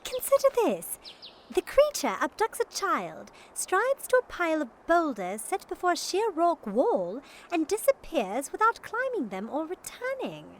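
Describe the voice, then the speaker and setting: A young Victorian wife and sleuth's assistant in a radio drama, with a very high, lilting voice. She is plucky, devoted and earnest, over clear background noise. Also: wildly swooping pitch, unhurried, heavily acted, poor audio. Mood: puzzled, uneasy. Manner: spirited, earnest, polite.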